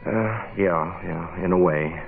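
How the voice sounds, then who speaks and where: An American man playing a cool, wry hard-boiled private investigator in a 1960s radio drama, laconic and deadpan. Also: sits low, slow pace, creaky, a little hiss or hum, full character voice. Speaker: lead actor and narrator. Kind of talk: 1960s radio detective drama acting, first-person narration. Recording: muffled phone-like old radio, music behind.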